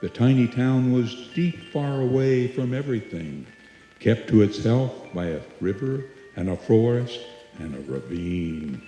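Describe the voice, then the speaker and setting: A man's voice: medium pitch, lively intonation, measured pace, mellow, faint music behind, natural voice. A man playing the somber narrator of a small-town suspense tale in a live old-time radio drama. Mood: somber, atmospheric. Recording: echoey hall, live stage performance.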